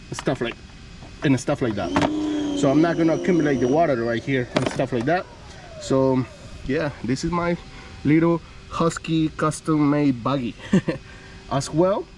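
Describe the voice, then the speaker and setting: A man talking casually as a car detailing vlog host, wandering through his thoughts with plenty of "you know" and "um". He sits at a middle pitch. Vehicle and outdoor noise runs clearly behind him. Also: a little mellow, poor audio. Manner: rambling, heavy on "you know" and "um". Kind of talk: casual vlog chat and interviewing.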